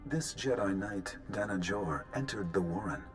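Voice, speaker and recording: A man playing a serene ancient Jedi holocron teacher in a video game, speaking in a measured, formal, unemotional way. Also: fairly low pitch, animated, close to an everyday voice. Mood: serene, solemn. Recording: game music underneath.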